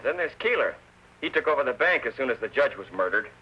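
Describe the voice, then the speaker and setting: A righteous small-town sheriff of the old West, gruff and plain-spoken. His bright, hard-driving voice has the blunt delivery of old radio western drama. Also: mid-range voice, sing-song, quick pace, full character voice, poor audio. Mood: grave recalling. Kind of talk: vintage radio western acting. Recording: old radio broadcast recording.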